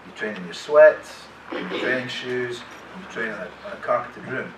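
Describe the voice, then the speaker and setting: A middle-aged man tells rambling, self-deprecating stories to a live panel audience, restarting his sentences as he goes. He sounds distant from the mic in a roomy, echoing hall, with loud room noise around him. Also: medium pitch, animated, normal speed. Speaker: actor guest on a convention panel. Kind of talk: casual anecdotes to a live audience.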